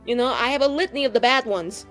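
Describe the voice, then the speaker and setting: A woman's voice: on the high side, fast talker, fairly bright tone, lightly acted, rough recording. A woman voicing a brash, sly young schemer in tabletop roleplay, rambling in slang and cajoling with mock sincerity. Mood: teasing, cajoling. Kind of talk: improvised tabletop roleplay dialogue. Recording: music behind the voice.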